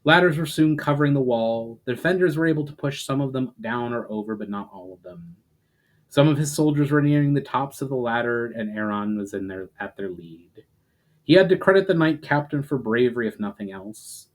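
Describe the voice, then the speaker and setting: A man's voice: medium pitch, expressive, fairly quick, rough recording. A man reading fantasy fiction aloud as a dramatic narration, hitting phrase openings with emphasis and letting sentence ends fall away. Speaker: writer reading own story aloud.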